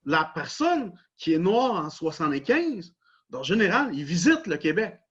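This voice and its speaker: Husky Québécois man on a dull recording. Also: high voice, sing-song, a little slow. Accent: Québécois French. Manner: explanatory, repeats set phrases. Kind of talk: casual discussion.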